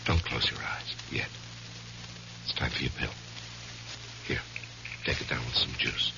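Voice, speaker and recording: An actor playing a haunted, uneasy lawyer with a halting manner in a 1970s radio drama. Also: male voice, extremely low pitch, creaky, full character voice. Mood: weary, tender. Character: troubled, haunted male lawyer caring for sick wife. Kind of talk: 1970s radio drama acting and first-person narration. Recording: muffled, hissy old radio recording.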